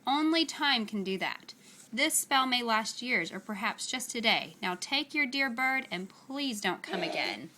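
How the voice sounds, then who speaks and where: A woman voicing a menacing, haughty male magician in a storybook, speaking deliberately. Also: on the high side, expressive, sharp tone. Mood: cold, dismissive. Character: menacing adult male magician, imperious and cold.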